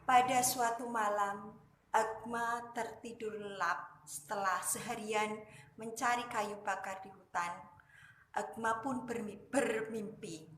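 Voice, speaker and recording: A woman telling a children's story like a kindergarten teacher, deliberately, in short emphatic phrases. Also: on the high side, fairly even intonation, a bit bright, slight background noise. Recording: echoey room.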